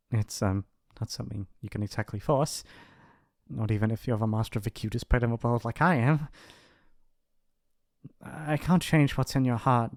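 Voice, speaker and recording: A male actor voices a young mafia heir who is bashful, devoted and softly possessive. He talks in an intimate ASMR roleplay style, halting and earnest, at a medium pitch. The sound is close and very dry. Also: no echo, lightly acted. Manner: hesitant, earnest, stammering, affectionate. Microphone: close studio mic, dry.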